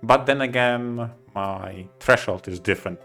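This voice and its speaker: Halting man chatting over music. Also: medium pitch, vocal fry, a bit bright. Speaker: podcast co-host. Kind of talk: casual podcast chat.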